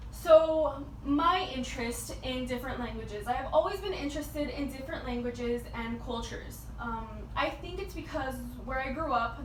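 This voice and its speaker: A young woman giving a prepared persuasive speech as a student, in a halting, informal way with repeated words. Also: high voice, fairly bright tone. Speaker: student speaker. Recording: echoey, distant mic with background noise.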